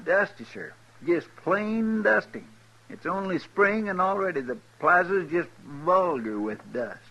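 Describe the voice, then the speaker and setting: An actor playing a folksy, rambling marshal's sidekick with an in an old-time radio western. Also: male voice, high-pitched, animated, measured pace, bright, forceful tone, full character voice. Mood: folksy grumbling. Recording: dull old radio recording.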